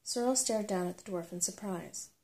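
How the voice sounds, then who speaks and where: Soft, creaky woman reading a bedtime story. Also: mid-range voice, normal speed. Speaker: narrator and ASMR host.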